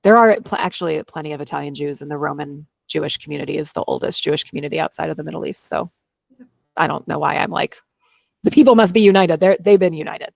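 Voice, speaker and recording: A woman's voice: deep voice, quick pace, creaky, no echo. A woman co-hosting a book club podcast, moving between casual, opinionated chat and read-aloud chapter summaries with dry humor and easy swearing.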